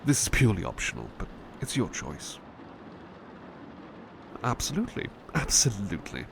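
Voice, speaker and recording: A man playing a devoted, gentle butler in a soothing ASMR roleplay, hesitant and polite, fussing and repeating himself reassuringly. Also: lowish voice, wildly swooping pitch, moderate pace, gravelly, background noise, full character voice. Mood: attentive, reassuring.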